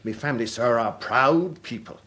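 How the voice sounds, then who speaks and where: An actor playing a boastful, effusive old Irish army captain and fencing master in a period radio drama, with a florid, proud manner. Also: male voice, medium pitch, normal speed, bright, forceful tone, close to an everyday voice. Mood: boastful reminiscing. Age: older.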